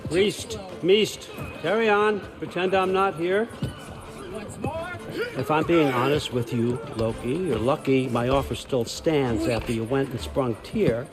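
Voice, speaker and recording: An actor playing a scheming old ruler god in a video game, glib, falsely genial and wheedling in a conversational way. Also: male voice, medium pitch, music in the background, lightly acted. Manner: wry, wheedling, conversational, deliberate pauses. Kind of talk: video game cutscene acting.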